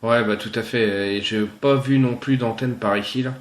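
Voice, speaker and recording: French guy doing relaxed cb operator chat, echoey roomy sound. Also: mid-range voice, normal speed. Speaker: amateur CB radio operator. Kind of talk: casual radio contact chat.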